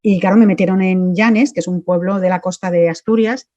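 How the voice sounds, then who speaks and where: A woman's voice: lowish voice, fairly even intonation, brisk, dark tone, no room sound, dull audio. A woman from Mexico, a guest in a casual interview, chatty and talking in long run-on stretches.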